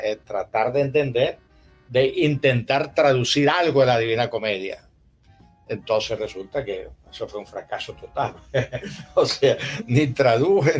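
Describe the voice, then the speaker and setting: An older man from Venezuela reminiscing conversationally in a discursive, anecdotal way. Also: medium pitch. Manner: discursive, anecdotal, often tagging phrases with a questioning check. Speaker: interviewed historian and former university rector. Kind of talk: conversational reminiscence at a public talk.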